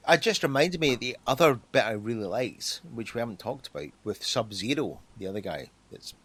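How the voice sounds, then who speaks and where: A man's voice: mid-range voice, expressive, a little fast, a bit bright, breathy edge. A man giving playful, opinionated takes in casual banter as a podcast co-host.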